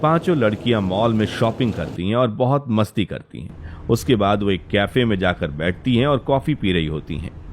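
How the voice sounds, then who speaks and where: A man's voice: lowish voice, expressive, moderate pace, a little hiss or hum. A man narrating a scripted story as its storyteller. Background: faint music.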